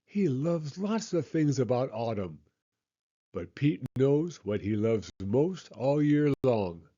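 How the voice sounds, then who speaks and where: A man reading a children's picture book aloud with expressive, measured storytelling. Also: medium pitch, dull audio.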